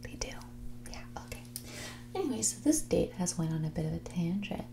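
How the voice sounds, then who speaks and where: A woman playing a mean, snobbish rich young woman on a date in an ASMR roleplay, mixing breathy whispers with soft speech in a condescending, catty drawl. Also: on the low side, sing-song, a little fast, noisy background. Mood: prying, condescending.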